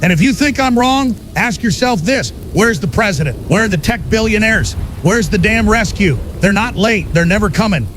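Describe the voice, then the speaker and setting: A man's voice: sits high, lively intonation, normal speed, a bit bright, a little hiss or hum. A man playing a paranoid doomsday conspiracy broadcaster, accusatory and emphatic, in an apocalyptic audio story. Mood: accusing, urgent.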